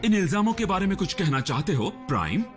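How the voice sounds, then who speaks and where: A stern, accusing government agent. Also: male voice, sits high, big pitch swings, quick pace, music in the background. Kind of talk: Hindi cartoon dub.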